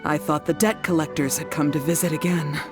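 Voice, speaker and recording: An actress voicing a distressed wife in a video game whose husband has gone missing, apologetic and tearful. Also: female voice, sits low, steady tone, fairly quick, music in the background, close to an everyday voice. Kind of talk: game voice acting.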